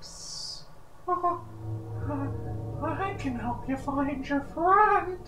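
A man voicing a creepy, falsely friendly cartoon mouse in a coaxing, squeaky falsetto. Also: slow pace, sharp tone, heavily acted. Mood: eerily coaxing. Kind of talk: cartoon horror parody dub. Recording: roomy echo, faint music behind.

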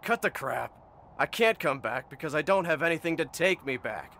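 Man voicing defiant male space soldier, audio drama, blunt, tense bright voice, slight background noise. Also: fairly high pitch, expressive, normal speed. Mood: demanding. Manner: blunt, combative, swearing. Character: gruff, defiant stranded space soldier, hot-tempered.

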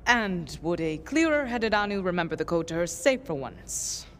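An idealistic young female scientist in a video game. She is earnest and excitable, rambling and second-guessing herself with a very animated melody. There is faint noise behind her. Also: lowish voice, normal speed. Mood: self-doubting, musing. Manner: rambling, self-questioning.

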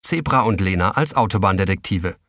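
Man, storyteller, children's audio play narration, lowish pitch. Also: lively intonation, brisk.

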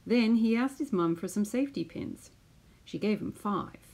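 A woman in her fifties with a creaky, slightly husky voice and a medium pitch. She speaks as a teacher does when reading a picture book to children, phrasing deliberately and leaning on key words. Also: expressive, a little mellow. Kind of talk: children's storybook read-aloud.

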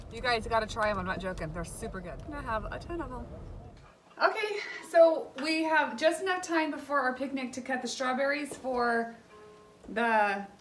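A woman's voice: a little high, background noise. Chatty upbeat woman vlogging on a phone. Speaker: family vlogger. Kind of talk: casual vlog chat. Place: car, shop and home kitchen. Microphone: handheld phone camera.

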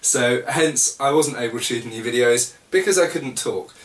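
A man's voice: mid-range voice, normal speed. British male host, 40s, congested voice, casual explainer, echoey room, distant camera mic. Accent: British English. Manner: relaxed, explanatory, with many fillers. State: congested, recovering from lost voice. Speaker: channel host. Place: small room indoors. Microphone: camera mic at a short distance.

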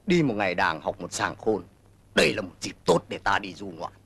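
An actor playing a blustering, gullible old bully of a wolf in a cartoon, boastful and theatrical. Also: male voice, sits low, big pitch swings, normal speed, vocal fry, fairly bright tone, slightly rough, heavily acted. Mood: pleased, pondering. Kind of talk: cartoon voice acting. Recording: music behind the voice.

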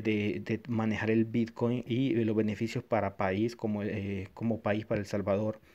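A man's voice: fairly low pitch, a little mellow. A man from Honduras giving a casual, hesitant review of a wallet app over a screen recording, with many fillers and restarts. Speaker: tech reviewer.

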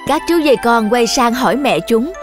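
A woman's voice: a little high, normal speed. A woman reading scripted narration for a children's story. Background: music.

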